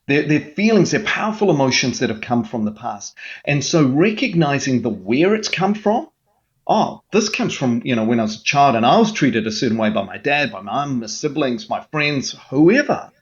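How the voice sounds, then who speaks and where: A man's voice: mid-range voice, expressive, normal speed, highs cut off. A man speaking as a mental health guest in an interview, explaining with an earnest coaching manner and frequent restarts.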